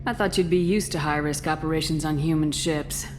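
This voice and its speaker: A woman playing a steady, supportive military commander in a video game, composed, reassuring and direct. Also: sits low, a little fast, background noise, lightly acted. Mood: curious, familiar.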